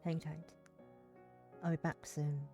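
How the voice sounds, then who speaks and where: A woman voicing an easygoing young female friend in a visual novel, relaxed and low-key. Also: slow, faint music behind, exaggerated voice. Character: Neve, laid-back young woman, sweet-toothed easygoing friend.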